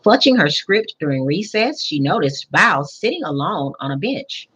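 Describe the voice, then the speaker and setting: A woman reading a children's picture book aloud as a school principal, pausing to explain and put questions to young listeners. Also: on the low side, big pitch swings, fairly bright tone, husky, highs cut off.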